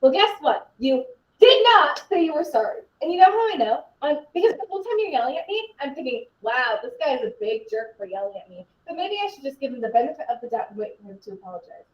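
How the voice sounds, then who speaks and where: A woman's voice: sits high, sing-song, fast, a little hiss or hum. A young woman playing a blunt, indignant teenage girl in a live scene, sarcastic and confrontational in her slangy delivery. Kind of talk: live duo scene performance. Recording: roomy, distant, dull microphone.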